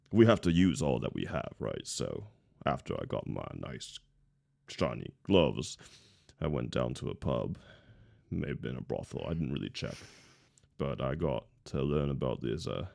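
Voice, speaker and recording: A man voicing a big, simple-minded, blunt brute adventurer with a gravelly voice in a tabletop roleplay. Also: darkish tone, full character voice. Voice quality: very deep, gravelly. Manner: simple broken grammar, blunt. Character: big, slow-witted thick-thumbed brute adventurer.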